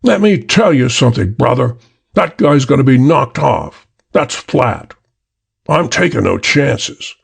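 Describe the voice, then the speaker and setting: A man's voice: mid-range voice, sing-song, measured pace, creaky, hardly any pauses, husky, exaggerated voice. A man voicing a brash, blustering male gangster who talks in tough slang. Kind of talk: audiobook character reading.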